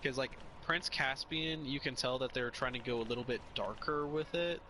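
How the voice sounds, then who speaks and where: A young man chats casually as a co-host, rambling through opinions and restarting his sentences as he goes. His voice sits in an ordinary middle pitch. Faint street and traffic noise runs behind him. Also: brisk. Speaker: podcast co-host. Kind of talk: casual chat.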